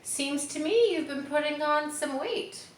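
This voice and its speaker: A woman's voice: high-pitched, expressive, measured pace, echoey room, slight background noise, voice pushed up. A woman voicing a grim, gossipy old female sheep from a storybook, with a matter-of-fact, snappish manner. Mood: knowing, gossipy.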